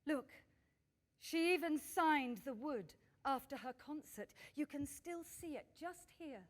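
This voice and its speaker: Polite museum lady in a radio play, high voice, earnest and welcoming. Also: animated, takes it slowly. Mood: earnest, admiring. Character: polite, admiring female museum host interviewing a guest. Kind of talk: radio drama acting, interviewing.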